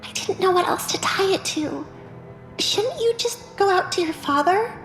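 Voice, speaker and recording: A woman's voice: extremely high pitch, animated, moderate pace, over music, voice pushed up, poor audio. A woman voicing a timid, worried young maid, in dramatized audiobook dialogue. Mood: anxious, pleading.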